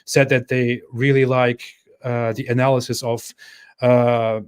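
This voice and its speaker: A man hosting a casual livestream Q&A, thinking aloud in a halting way as he reads out viewer comments and answers them. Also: medium pitch, level tone, clean tone. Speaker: channel host answering live chat.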